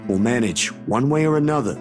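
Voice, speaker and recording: A man's voice: mid-range voice, lively intonation, a little fast, music in the background, natural voice. A man voicing a loyal, stubborn, good-hearted young everyman in a video game drama, speaking in an earnest, slangy way. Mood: hopeful, determined.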